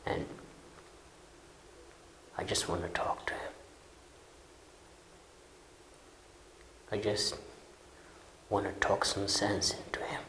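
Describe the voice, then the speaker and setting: Man acting a deep, weary, regretful convict, echoey room. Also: fairly even intonation, fairly bright tone, in a whisper. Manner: slow, halting, reflective monologue with pauses. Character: weary, regretful, bitter long-serving male convict. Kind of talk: dramatic audition monologue.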